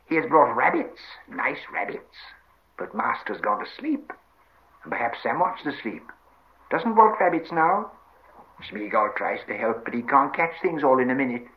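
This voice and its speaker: A man's voice: mid-range voice, sing-song, normal speed, muffled recording, voice pushed up, close to an everyday voice. A man voicing a wretched, greedy, whining creature that hisses, squeals and wheedles in repeated phrases in a storybook reading. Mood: wheedling, grumbling. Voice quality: hissing, squealing. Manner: repetitive wheedling, speaks of himself in third person.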